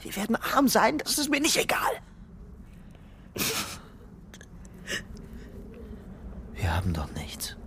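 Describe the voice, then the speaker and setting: An actor playing a guarded young ex-convict who acts in and narrates a radio crime drama. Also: male voice, high-pitched, wildly swooping pitch, brisk, a bit bright, slight background noise, exaggerated voice. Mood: anxious, resigned.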